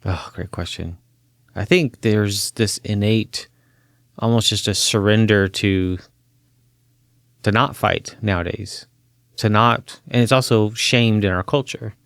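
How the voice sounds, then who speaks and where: Podcast guest guy, 40s, low voice with gravelly fry, earnest storyteller, laughs easy. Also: slow pace, no echo, studio quality. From Chile. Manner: earnest, animated storyteller who laughs easily. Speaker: counseling professor and guest. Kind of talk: interview conversation. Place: podcast studio.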